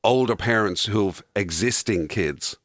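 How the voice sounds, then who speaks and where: A man's voice: on the low side, measured pace, fairly bright tone, no echo. An Irish man hosting casual, comic radio banter in a studio, with a teasing, conversational manner. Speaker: radio show host.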